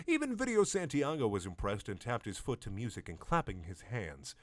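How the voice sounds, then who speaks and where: A man giving a deadpan, mock-serious comedic reading of fan fiction, with wry asides. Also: on the low side, brisk, no echo. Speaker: dramatic reader of fan fiction.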